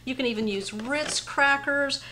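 A woman's voice: high voice, measured pace, bright, forceful tone, slight background noise. A middle-aged woman in her home kitchen, chatting viewers step by step through a casserole recipe. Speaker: home cooking channel host. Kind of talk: casual cooking demonstration.

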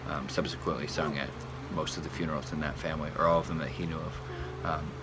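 Loud hum and noise sit behind a man in his thirties, recorded outdoors in a backyard. His voice is very low and stays quite even in tone. He talks informally, often stopping mid-sentence to find the next phrase. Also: fast. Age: 30s. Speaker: church worship leader presenting hymn history. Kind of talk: casual informal talk. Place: outdoors in a sunny backyard by a wooden hutch. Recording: noisy outdoor home recording, steady hum.

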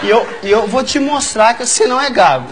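A Brazilian man in his thirties with a stutter, telling a comic anecdote haltingly and repeating words as a talk show guest. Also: extremely high pitch, expressive. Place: large TV studio with audience. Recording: lo-fi TV studio tape, loud audience noise. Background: loud audience laughter.

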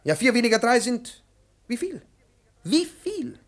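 An overpolite, pedantic male professor in a vintage radio play. His delivery is highly animated and theatrical, leaping up and down in pitch as his fussy patience strains. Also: measured pace, full character voice. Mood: politely flustered. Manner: pedantic, effusively polite, theatrically emphatic. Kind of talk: 1950s German-language radio play acting.

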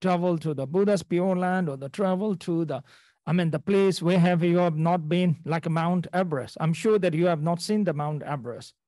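Male dharma teacher from Nepal, unhurried, repeats himself, dry sound. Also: a little high, dark tone, no room sound. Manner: halting, repeats phrases, checks in with "okay?". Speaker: Buddhist teacher. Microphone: video call.

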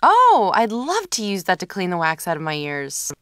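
A woman's voice: medium pitch, wildly swooping pitch, normal speed, a bit bright, clean tone, dry recording, studio quality. A woman voicing a jaded, foul-mouthed, menacing cartoon femme fatale, sarcastic and contemptuous. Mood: sardonic.

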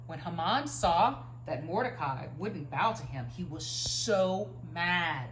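A woman in her thirties reads a children's Bible story with animated, swooping intonation. Her voice sits a little low and she stresses key words. The room is echoey, with faint noise behind her. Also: slow. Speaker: story reader. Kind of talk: expressive children's Bible storybook read-aloud. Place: living room, indoors, by a stone fireplace. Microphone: camera a few feet away.

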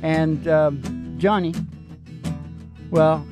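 A man's voice: fairly high pitch, steady tone, drawn-out words. Older joking man with guitar behind him. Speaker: musician performing with a duet partner. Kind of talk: casual stage banter between songs. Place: small indoor stage with red curtains. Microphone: stand microphone. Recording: guitar music around the voice. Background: acoustic guitar.

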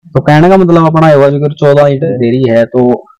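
A man's voice: a little high, normal speed, dark tone, clear, resonant tone, no room sound, dull audio. Young Haryanvi man interviewing outdoors. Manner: chatty, repeats answers back. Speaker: livestock video host. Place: outdoors in a village animal yard.

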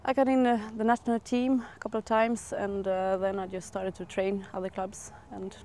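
A woman's voice: mid-range voice, flat delivery, normal speed, darkish tone. A woman who trains a national riding team, answering briefly and cheerfully in an interview.

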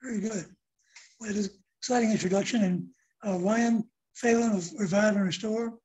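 A woman with a husky voice moderates a video-call panel. She gives short, brisk introductions between speakers. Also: mid-range voice, a little mellow, low-quality recording.

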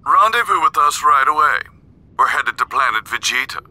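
A man playing a brisk alien soldier in an English anime game dub, giving clipped, authoritative orders. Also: mid-range voice, expressive, bright, forceful tone. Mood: commanding.

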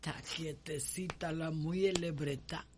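An actress playing a blunt, folksy enslaved nursemaid with a Cuban accent and a colloquial, teasing way of talking in a radio soap. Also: female voice, low-pitched, steady tone, unhurried, noisy background. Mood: wary. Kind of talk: radio soap opera acting.

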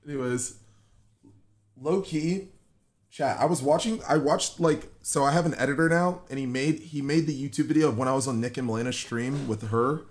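A man's voice: mid-range voice, moderate pace. An American man in his twenties chatting casually on a live stream, joking and teasing in slangy speech. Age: 20s. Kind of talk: casual chat with viewers and partner. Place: small bedroom, indoors.

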